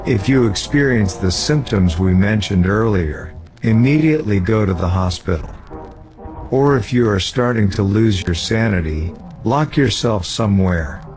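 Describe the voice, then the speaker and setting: A grave male emergency announcer acted by a man speaks in a low voice, formal and measured. He reads a scripted public warning for an analog horror cartoon, with faint music underneath. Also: a little mellow.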